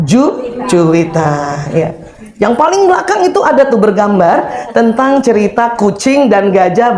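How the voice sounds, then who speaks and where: A woman in her fifties speaks at a seminar in a chatty, humorous way. Her voice sits at an ordinary middle pitch. She keeps turning to the listeners with quick questions. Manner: humorous, chatty, quizzes the audience. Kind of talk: lively seminar talk. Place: seminar hall before an audience.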